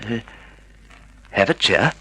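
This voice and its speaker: A man's voice: on the low side, big pitch swings, slow, a bit bright, husky, exaggerated voice, poor audio. A man acting in a radio drama as a timid, bewildered husband, hesitant and stammering. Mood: nervous, polite. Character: timid, bewildered, half-blind betrayed husband.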